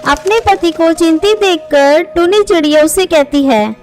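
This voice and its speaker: A woman's voice: very high voice, lively intonation, a little slow, faint music behind. A woman narrating a scripted children's moral story as its storyteller.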